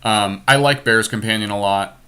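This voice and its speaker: A man's voice: lowish voice, normal speed, pushed and bright. A man hosting a casual card game podcast, thinking aloud and explaining his choices with opinionated enthusiasm.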